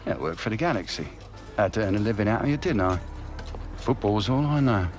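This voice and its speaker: A man's voice: fairly low pitch, animated, a little fast, soft background music, natural voice. An actor playing a middle-aged father and football scout in a game drama, casual, coaxing and evasive. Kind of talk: video game cutscene drama.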